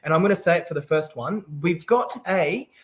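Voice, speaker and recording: A man's voice: on the high side, fairly quick. A man raised in Japan, casually hosting an online festival stream and explaining things step by step in an upbeat way.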